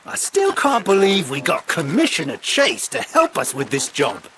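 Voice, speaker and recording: A woman playing a blunt, earnest, slow-witted thug in a cartoonish heist audio drama. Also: medium pitch, wildly swooping pitch, background noise. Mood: puzzled. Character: dim-witted, good-natured thug of a heist crew.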